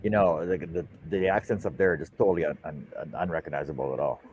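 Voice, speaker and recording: A man with a deep, low voice co-hosts a live stream in relaxed chat. He trips over and repeats his words, and a faint hiss sits behind him. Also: quick pace, highs cut off. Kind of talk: casual chat.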